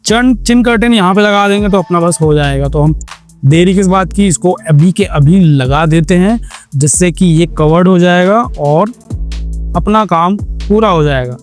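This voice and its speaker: A man narrating a casual hands-on how-to as a vlog host, explaining step by step and mixing in English words. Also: high voice. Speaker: motorcycle vlogger and tutorial host.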